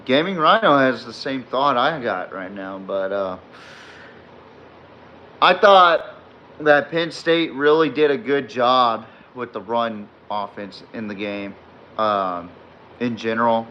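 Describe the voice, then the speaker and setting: A man hosting a late-night sports podcast with a slightly hoarse voice, rambling with many fillers while reading and answering chat comments. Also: mid-range voice, slow pace, highs cut off, slight background noise. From Texas fan, not necessarily from Texas. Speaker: podcast host. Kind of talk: live-streamed sports podcast recap.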